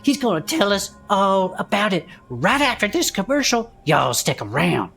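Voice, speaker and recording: A woman playing an excitable former adventurer hosting an audio drama show, with a folksy Southern US accent and theatrical, chatty delivery. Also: mid-range voice, normal speed, creaky, faint music behind. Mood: upbeat.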